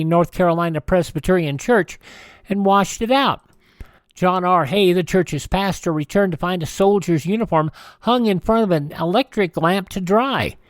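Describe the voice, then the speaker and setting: A man's voice: sits high, dry recording. An American man reading scripted news stories as a radio show host.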